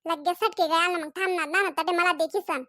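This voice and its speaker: Someone doing a very high-pitched scolding Khandeshi young woman. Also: steady tone, a little fast, heavy background noise. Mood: indignant. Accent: Khandeshi (Ahirani). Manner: scolding, nagging, accusatory. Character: scolding, jealous young village woman in a comedy cartoon. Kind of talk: comedy cartoon dialogue in dialect.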